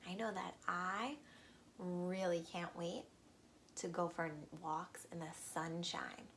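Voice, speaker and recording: A woman reading a storybook aloud to young children, expressive and pausing to ask the listeners questions. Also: fairly low pitch, a little hiss or hum.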